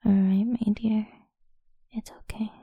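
A woman speaking in a soft, slow, coaxing whisper for a close-mic ASMR roleplay. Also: medium pitch, level tone, slightly rough, no room sound, narrow, tinny audio.

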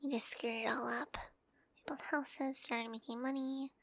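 A young woman whispering softly in an audio roleplay to the listener, giggly and intimate, close to the mic. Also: sits high, fairly even intonation, normal speed, slight background noise. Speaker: roleplay performer.

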